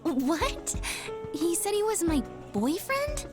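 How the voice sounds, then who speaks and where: A woman's voice: extremely high pitch, sing-song, slow pace, exaggerated voice. A woman voicing a bewildered young woman who brushes off an accusation, in a video game cutscene with music behind. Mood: puzzled. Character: puzzled, dismissive young woman.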